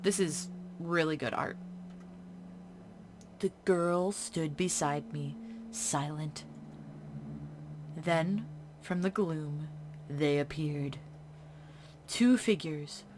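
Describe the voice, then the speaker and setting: A woman playing a horror visual novel, reading its text in a hush and breaking off into casual reactions. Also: low voice, very slow, long pauses, a little hiss or hum. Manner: hushed reading broken by chatty reactions. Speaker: game streamer. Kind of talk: reading a game's text aloud with casual commentary.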